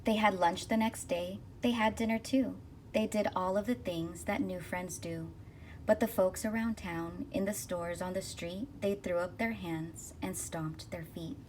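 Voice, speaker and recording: Low-pitched woman reading a picture book. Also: steady tone, a little mellow. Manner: rhythmic, deliberate phrasing of rhyming verse. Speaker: school counselor reading aloud. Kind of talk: expressive children's picture book read-aloud. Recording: distant mic with faint noise.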